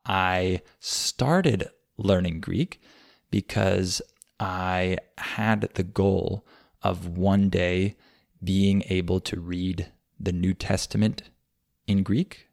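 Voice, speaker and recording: A man with a deep, gravelly voice speaks slowly and thoughtfully, pausing often to gather his words. The recording is dry and close. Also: darkish tone, dry recording. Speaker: language learner. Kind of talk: unscripted personal explanation.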